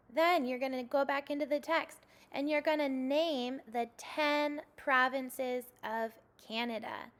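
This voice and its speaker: A young woman teaching second graders a recorded reading lesson, giving patient step-by-step instructions. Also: fairly high pitch.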